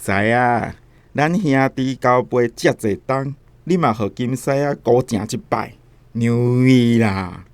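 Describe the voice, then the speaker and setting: A man's voice: medium pitch, takes it slowly, smooth, lightly acted. Man acting an earthy working-class Taiwanese gambler. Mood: coaxing. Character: plain-spoken working-class father, superstitious gambler.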